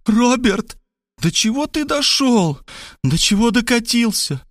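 A man reading an audiobook, voicing a jealous, furious woman who shrieks accusations in a high voice. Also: big pitch swings, takes it slowly, no echo, studio quality. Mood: outraged. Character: furious jealous adult woman, shrill, scolding.

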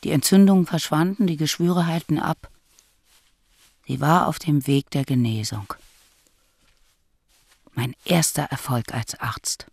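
An actress in a radio drama playing a disguised woman priest who reflectively narrates her life in measured speech. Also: low-pitched, a little mellow, husky, dry recording, exaggerated voice. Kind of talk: scripted first-person radio drama narration.

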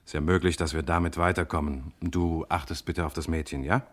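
A composed investigator in a radio crime drama. His voice is very low, and he questions in a measured, inquisitive, matter-of-fact way. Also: fairly even intonation. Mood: calm, probing. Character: calm, probing investigator, friend of the family. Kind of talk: German radio crime play acting.

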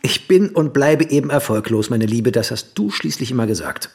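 A man playing a brooding, wounded husband and unsuccessful writer, husky and evasive, in German radio play dialogue. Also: mid-range voice, lively intonation, moderate pace, darkish tone. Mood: bitter.

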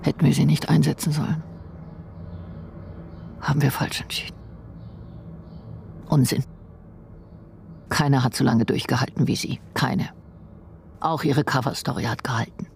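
An actress in a radio drama playing a sombre British intelligence officer, measured and reflective, troubled by doubt. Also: female voice, a bass voice, fairly even intonation, gravelly, darkish tone, full character voice. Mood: brooding, self-questioning. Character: British female intelligence officer, sombre, doubting, brooding over lost agents. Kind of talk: radio drama acting with inner monologue.

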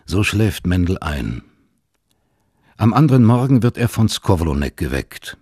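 A man with a husky voice narrating a scripted literary story, close on a studio microphone. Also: deep voice, big pitch swings, measured pace, darkish tone, dry recording.

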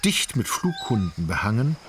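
Wry literary narrator over chirping insects. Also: male voice, on the low side, very animated, noisy background. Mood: detached, descriptive. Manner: measured, ornate, dryly ironic. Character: wry, detached omniscient male narrator. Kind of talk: scripted literary radio drama narration. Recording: insect and animal ambience behind voice.

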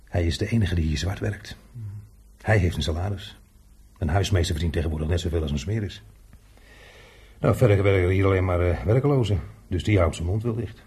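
Chatty working-class handyman for a radio play, really husky rough voice, lowish, jokey. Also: brisk, darkish tone, heavily acted. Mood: gossipy, wry. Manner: talkative, colloquial, chuckling. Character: chatty, jovial unemployed workshop handyman showing a newcomer around. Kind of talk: radio drama acting.

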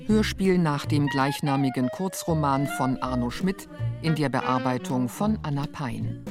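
A woman's voice: a bass voice, measured pace. A woman working as an announcer, reading scripted credits for a radio play. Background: music.

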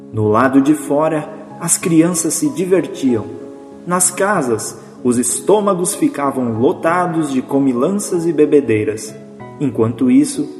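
A man's voice: medium pitch, darkish tone, close to an everyday voice. A man performing solemn, melancholy scripted narration for an audio drama. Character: solemn, melancholy male storyteller. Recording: echoey, distant, reverberant hall sound.

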